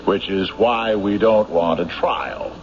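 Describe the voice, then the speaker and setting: A man playing a stubborn, principled small-town sheriff in a radio drama, speaking in a terse, halting, dogged way. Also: fairly low pitch. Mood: skeptical. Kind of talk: old-time radio drama acting. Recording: muffled radio broadcast with faint noise.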